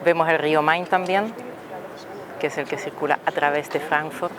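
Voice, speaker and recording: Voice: mid-range voice, steady tone, normal speed, a crowd in the background. A travel vlogger, casually explaining city landmarks outdoors and asking the viewers questions. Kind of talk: casual travel narration to camera. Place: outdoors on a city tower deck and streets.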